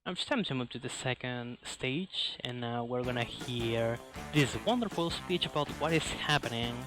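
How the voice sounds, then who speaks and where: A man's voice: mid-range voice, expressive, normal speed, rough recording. A man giving live speedrun commentary in non-native English phrasing, talking through what he is doing as he plays. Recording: game music behind the voice. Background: loud video game music.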